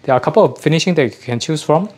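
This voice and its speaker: A man giving a casual unboxing chat as a host, often pausing to rephrase himself. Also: fairly high pitch, lively intonation, a little mellow, breathy edge. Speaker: host of an audio gear channel.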